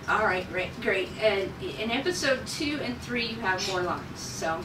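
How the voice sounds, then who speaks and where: A woman's voice: mid-range voice, animated, slow. A woman directing a cast table read, talking casually with the group. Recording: echoey, very noisy room, distant mic.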